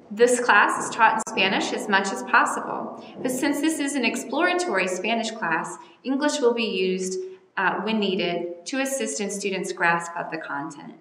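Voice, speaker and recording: Bright-voiced teacher in an echoey room. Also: female voice, mid-range voice, a little hiss or hum. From North Carolina. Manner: measured, orderly and explanatory. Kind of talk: recorded welcome message to parents. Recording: echoey room with long reverb.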